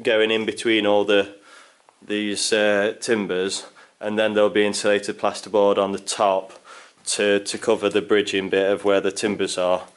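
A young man filming himself on a handheld camera in a barn under construction, explaining his building work casually with fillers. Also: lowish voice. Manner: explanatory, thinking aloud with fillers. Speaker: DIY builder vlogger. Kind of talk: casual walkthrough narration. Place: indoor barn under construction, stone walls. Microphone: handheld camera at arm's length.

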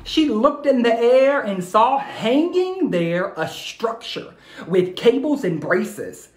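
Slow-paced man reading to children. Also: very high voice, sing-song. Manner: addresses young listeners directly, encouraging. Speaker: educator reading aloud to schoolchildren. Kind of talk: expressive children's read-aloud. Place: indoor room at home. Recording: echoey room, phone video.

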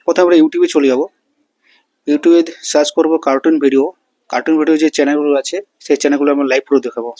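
Higher-pitched man explaining a tutorial. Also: fairly even intonation, quick pace, a little mellow, dry recording. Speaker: tutorial host. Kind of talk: casual step-by-step screen tutorial.

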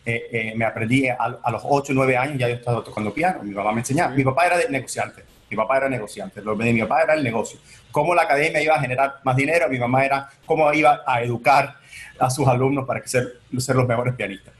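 A man's voice: mid-range voice, fast, slight background noise. A man in his forties, born in Venezuela and raised in the United States, answering interview questions in a chatty way with many restarts over a video call. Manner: chatty, many fillers and restarts, gestures while talking. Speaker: entertainment attorney, guest. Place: home office on video call.

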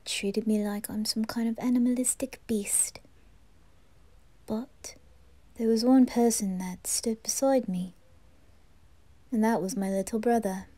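A woman voicing a wistful, lovesick young gentleman in a halting, often whispered ASMR roleplay monologue. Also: a little high, fairly even intonation, no echo. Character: wistful, lovesick aristocratic young man, former orphan.

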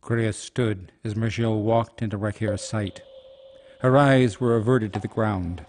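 Casting: male narrator for fantasy audio drama, lowish voice, scripted storytelling, faint noise behind. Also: fairly even intonation, a little slow, a little mellow.

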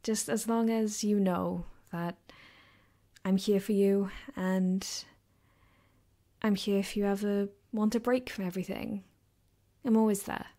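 A woman playing a caring, affectionate girlfriend in an ASMR comfort roleplay, speaking softly and gently with hesitant, reassuring warmth. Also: medium pitch, fairly even intonation, unhurried, long pauses, lightly acted. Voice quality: soft, gentle, sometimes dropping to a whisper.

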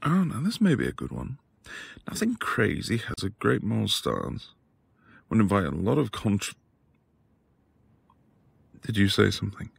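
A man performs a quiet, devoted husband in a romantic audio roleplay. His voice is low and very gravelly, with breath close to a whisper. He talks slowly and intimately, teasing and flirting with tender affection. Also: big pitch swings, exaggerated voice. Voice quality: breathy, husky, often dropping to a whisper.

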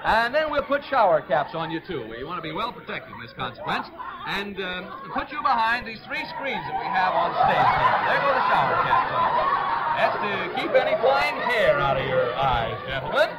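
A man's voice: sits high, sing-song, moderate pace, over a noisy crowd, poor audio. An American man hosting a 1940s radio game show, joking like a showman with contestants and audience. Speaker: quiz show host.

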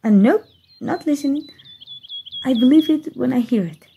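Woman doing a flustered little fairy girl, giggly and stammery, mellow voice, birds chirping faintly behind. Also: high-pitched, animated, normal speed, slight background noise. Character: playful flustered young female fairy. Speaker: voice actor. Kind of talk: ASMR roleplay audio.

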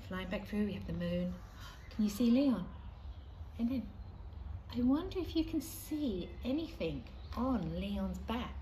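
A middle-aged woman reading a children's storybook aloud expressively, with deliberate, dramatic pauses. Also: mid-range voice, lively intonation, dark tone, low-quality recording. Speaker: primary school staff member reading aloud. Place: outdoors in a leafy school playground. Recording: faint outdoor ambient noise.

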